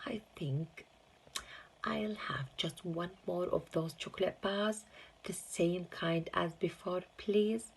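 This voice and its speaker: A small, hungry boy in a children's storybook, voiced by a woman. The voice is polite and hesitant, with a husky, creaky texture. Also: lowish voice, takes it slowly, voice pushed up, heavily acted, rough recording. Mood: hesitant, eager. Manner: quiet, hesitant.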